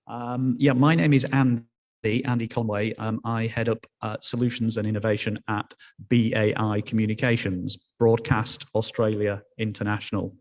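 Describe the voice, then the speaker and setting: A man from Yorkshire speaks as a company executive introducing his work. His voice is low and stays level, with very little rise and fall. The delivery is informal and somewhat halting, in a clear Yorkshire accent. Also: flat delivery, darkish tone. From Yorkshire, England. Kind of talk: informal conference presentation.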